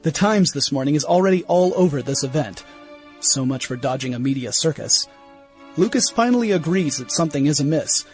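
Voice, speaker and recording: An actor playing an irritable, self-important 1910s museum scientist, delivering a brisk, opinionated diary monologue in a radio play. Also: male voice, on the high side, lively intonation, a little mellow, lightly acted. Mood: self-important, skeptical. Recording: music bed under the voice.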